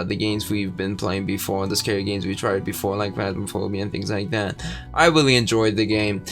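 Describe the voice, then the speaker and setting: A man's voice: fairly low pitch, fairly bright tone. A man gaming on video, reading the game's text aloud and chatting with fillers. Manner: reads game text aloud, rambling with fillers. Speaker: gaming YouTuber. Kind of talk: game playthrough narration and chat.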